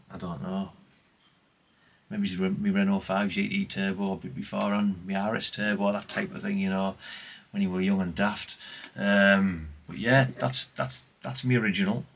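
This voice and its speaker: A man's voice: low voice, steady tone, measured pace, gravelly. A man, chatting casually and ramblingly as he presents old radio gear in a vlog. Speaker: vlogger showing vintage CB radios. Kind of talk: casual, unscripted chat.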